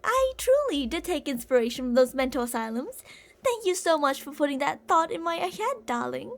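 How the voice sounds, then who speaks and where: A woman's voice: sits high, sing-song, normal speed. A woman playing an obsessive older female kidnapper in an ASMR roleplay, cooing and possessive, doting yet menacing, with theatrical delivery. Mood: calm, doting. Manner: cooing, possessive, theatrical, with pet names and whispered phrases.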